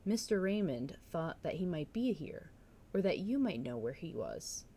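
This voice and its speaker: A woman voicing the nosy, insistent sister of a village doctor in a storybook reading, brisk and pressing. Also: fairly low pitch, sing-song, warm tone, slightly rough, full character voice. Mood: eager. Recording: noticeable background noise.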